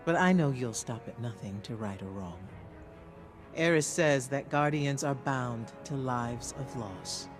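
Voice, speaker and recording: A man's voice: medium pitch, measured pace, music in the background, natural voice. A man playing a candid, loyal, thoughtful ally in video game dialogue, conversational and sincere. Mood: cautious gratitude.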